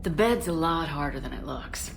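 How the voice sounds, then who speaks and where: Low-voiced, composed female commander. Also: lively intonation, normal speed, vocal fry, background noise. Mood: dry, teasing. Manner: direct, composed. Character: steady, frank, dryly teasing female military commander. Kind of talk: conversational video game acting.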